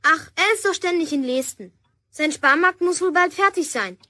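An eager young village girl in a children's audio play. Her voice is extremely high and bright, recorded close and dry. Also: normal speed, no room sound. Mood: eager, restless.

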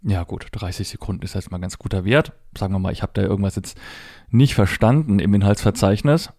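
A man's voice: on the low side, fairly quick, dark tone, no echo, clean studio sound. A man explaining a device step by step in a matter-of-fact, conversational tutorial style. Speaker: presenter demonstrating assistive technology. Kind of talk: hands-on spoken tutorial, unscripted step-by-step explanation.

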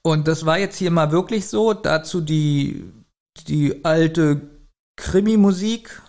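A man's voice: a little high, slow, darkish tone. A man, speaking casually as a podcast co-host giving a review.

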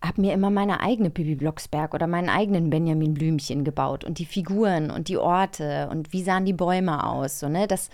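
A woman's voice: low-pitched, fairly quick, few pauses, a little mellow. A woman co-hosting a casual podcast in an informal, interested manner. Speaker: podcast co-host.

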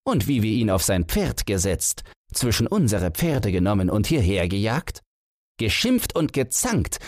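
A man's voice: fairly low pitch, darkish tone, no room sound, clean studio sound, pitched above the performer's own voice. A man voicing a garrulous older horseman, a grumbling and mocking servant of the king in a fairy tale audiobook.

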